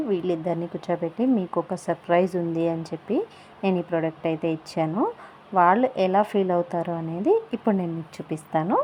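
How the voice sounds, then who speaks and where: A woman's voice: lowish voice, dark tone, slight background noise, rough recording. A woman giving a casual product review voice-over, explaining things in simple, repeated steps. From India. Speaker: product review vlogger and parent.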